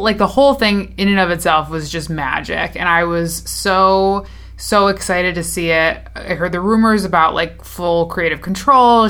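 A late-twenties woman with a slightly low voice chats casually on a podcast she co-hosts. She talks in loose, filler-heavy sentences and laughs often. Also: a bit bright. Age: 20s. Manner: chatty, lots of fillers and laughter. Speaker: podcast co-host. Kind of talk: casual chat.

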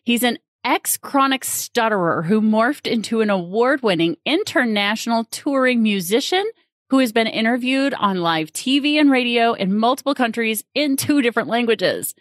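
A woman's voice: fairly high pitch, expressive, normal speed, no echo, clean studio sound. A woman hosting a podcast interview in an upbeat way, laughing easily. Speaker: podcast host.

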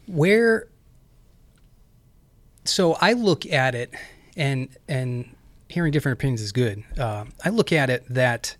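A man, hosting a casual talk, reflective and questioning, his voice hoarse from scarred vocal cords. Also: mid-range voice, lively intonation, measured pace, pauses often, no echo. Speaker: podcast host and physician assistant. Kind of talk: casual podcast conversation.

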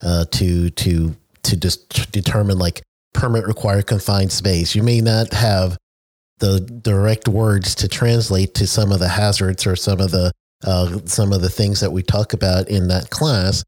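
A chatty, friendly man from the United States with a lowish voice. He talks easily and laughs often, recorded dry and close. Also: steady tone, a little slow, darkish tone, dry recording. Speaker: interviewer, safety trainer. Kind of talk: conversational interview.

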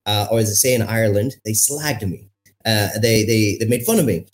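A man in his thirties from India, a podcast guest telling his story in an animated way, with emphatic phrasing and pace that keeps shifting. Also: fairly low pitch, normal speed. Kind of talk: storytelling interview answer.

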